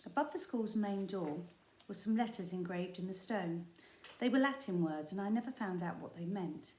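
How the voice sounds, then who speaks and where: A woman primary school teacher reading a children's book aloud, expressive and storytelling, with the odd slip. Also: mid-range voice, normal speed. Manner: expressive storytelling with small slips and asides. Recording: echoey room.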